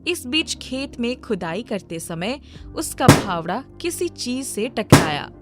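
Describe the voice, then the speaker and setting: A woman's voice: on the high side, very animated, slow pace, over loud music. A woman narrating a scripted storybook tale.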